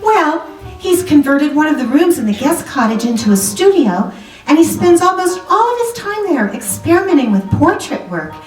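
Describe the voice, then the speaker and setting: In a roomy recording with faint music, a woman acts out a fretful young society wife. Her high voice is polite and hesitant, in the manner of 1940s radio drama. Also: very animated, normal speed. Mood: anxious, polite. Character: anxious, genteel young married woman seeking a detective's help. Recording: roomy, distant microphone, music behind.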